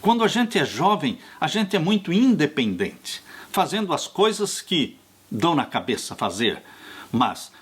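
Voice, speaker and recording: Older pastor preaching with husky voice. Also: male voice, on the high side, sing-song. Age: 60s. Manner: earnest, slow pastoral preaching, warmly addressing listeners as "dear ones". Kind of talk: sermon on a Bible study lesson.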